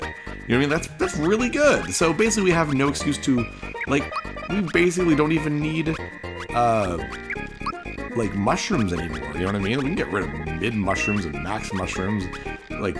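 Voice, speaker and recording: A man chats his way through a video game in a casual, playful style. His pitch is mid-range but swings up and down in a lively way. Game music plays behind him. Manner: chatty, playful, reads game text aloud in exaggerated tones. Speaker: gaming video host. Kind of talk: casual game playthrough commentary.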